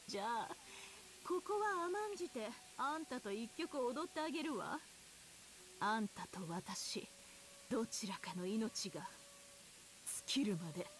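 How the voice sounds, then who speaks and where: A woman's voice: on the high side, sing-song, normal speed, long pauses, low-quality recording. A woman playing a haughty, cruel, elegant villainess in a Japanese video game, speaking with imperious, mocking theatricality. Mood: mocking, amused. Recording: game audio under loud music. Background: loud game music.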